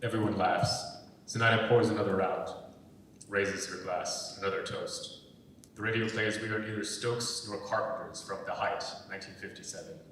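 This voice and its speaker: Low-voiced male narrator in an echoey hall. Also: steady tone, normal speed, fairly bright tone. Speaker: narrator reading stage directions. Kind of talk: scripted narration of a screenplay reading. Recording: echoey hall, far from mic, rumbly noise.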